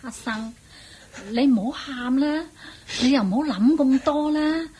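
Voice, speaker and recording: A woman's voice: high-pitched, a little slow, a little mellow, a little hiss or hum. A woman with a Hong Kong Cantonese accent playing a motherly, scheming palace cook in a radio drama, chatty and coaxing. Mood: consoling, conspiratorial.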